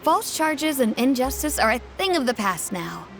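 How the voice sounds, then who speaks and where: A woman playing an earnest, polite young townswoman in a video game, explaining things in a proper, gently reproving manner. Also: high-pitched, sing-song, normal speed.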